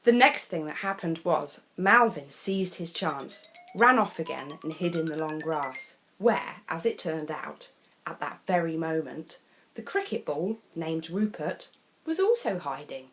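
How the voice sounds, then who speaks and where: A woman's voice: deep voice, very animated, measured pace, a bit bright, soft background music, poor audio. A woman tells a children's story expressively, with theatrical delivery and chatty asides to the listener. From England. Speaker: storyteller reading a children's picture book.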